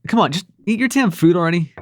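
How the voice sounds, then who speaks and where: Man playing a cocky demon prince, lively, swooping delivery. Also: sits high, quick pace, background noise. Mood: playful, teasing. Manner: casual, sweary, teasing, hesitant when sincere. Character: cocky, sarcastic young demon prince, secretly tender. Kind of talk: intimate ASMR audio roleplay acting.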